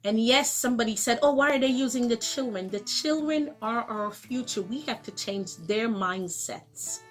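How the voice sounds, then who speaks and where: A woman's voice: on the high side, moderate pace, soft background music. A middle-aged woman from Guyana hosting her own show, speaking earnestly to viewers with a lozenge in her mouth and a sore throat starting. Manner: earnest, urging, conversational. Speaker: show host. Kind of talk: direct-to-camera talk.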